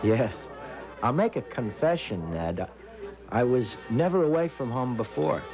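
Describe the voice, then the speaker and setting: An actor playing a shy, homesick young American student, hesitant and timid, in a 1970s radio drama. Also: male voice, medium pitch, animated, unhurried, music in the background. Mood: timid, downcast. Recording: dull lo-fi radio recording.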